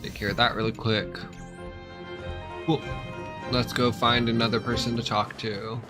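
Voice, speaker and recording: A man's voice: medium pitch, level tone, a little slow, over music. A man giving casual playthrough commentary as a gaming streamer, laid-back and slangy.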